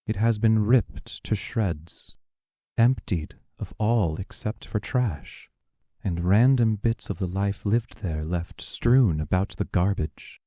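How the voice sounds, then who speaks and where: A man giving scripted narration of stage directions for an audio play in a measured, matter-of-fact way. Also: fairly low pitch, mellow, dry recording, clean studio sound.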